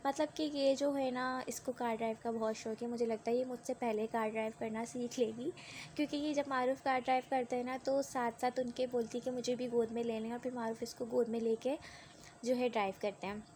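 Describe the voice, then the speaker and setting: A woman's voice: a little high, steady tone, a little fast, a little mellow, a little hiss or hum. A young woman with a sore throat and a cough, chatting through a rambling vlog voice-over. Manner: rambling and conversational, with many tag fillers. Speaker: vlogger.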